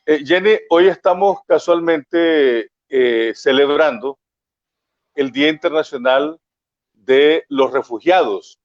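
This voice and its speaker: A man hosting a radio interview program, speaking with many pauses and filler sounds. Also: on the high side, dry recording, muffled recording.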